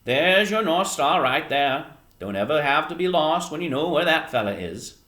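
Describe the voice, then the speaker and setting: A man's voice: a little high, very animated, normal speed, sharp tone, pitched above the performer's own voice. A grizzled old fisherman acted out by a man reading a storybook speaks in a raised, swooping voice. He is kindly and plain-spoken, musing in folksy phrases with an attempted Eastern Maine accent. The room echoes around him. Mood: warm, musing. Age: older.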